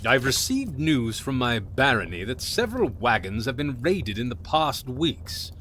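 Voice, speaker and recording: A man's voice: medium pitch, very animated, a little slow, a bit bright, a little hiss or hum. A man playing a dour baron reporting formally to his king in a fantasy audio drama. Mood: grim, measured.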